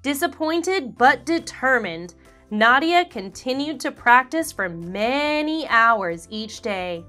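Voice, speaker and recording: A woman reading a children's picture book aloud, slow, clear and expressive, as host of a read-along show. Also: sits high, soft background music. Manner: animated, slow and clear storytelling.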